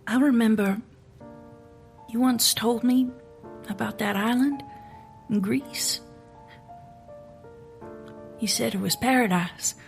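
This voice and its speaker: An actress playing a weary, skeptical female FBI agent in an audio drama, speaking haltingly and with open feeling. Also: a little high, gravelly, faint music behind. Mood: tender, wistful.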